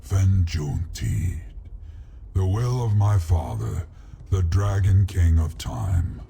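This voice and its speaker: An actor playing an ancient, weary male dragon in a fantasy video game, solemn and portentous, with a gravelly rumble. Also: animated, dark tone, exaggerated voice. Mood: solemn resolve. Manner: slow, portentous, archaic phrasing.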